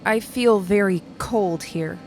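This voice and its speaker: Young alien passenger, mid-pitched female voice, curious, earnest, plain-spoken, audio drama, music underneath. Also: slow pace, darkish tone. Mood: tentative, earnest.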